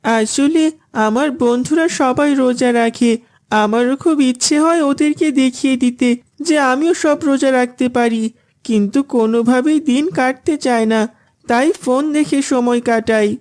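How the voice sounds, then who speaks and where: A woman's voice: high voice, normal speed, a little mellow, natural voice. A woman voicing a meek, earnest young boy in a children's cartoon, with a plaintive, innocent manner. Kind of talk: Islamic children's cartoon dubbing.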